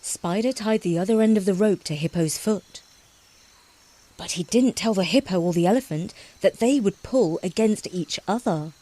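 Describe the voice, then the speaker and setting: A woman narrating a scripted children's story in an expressive, dramatic storytelling style. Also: mid-range voice, moderate pace.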